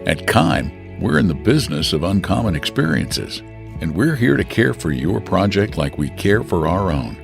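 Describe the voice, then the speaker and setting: A man's voice: deep voice, big pitch swings, moderate pace. A man narrating a scripted radio commercial as an announcer. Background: clear plucked guitar music.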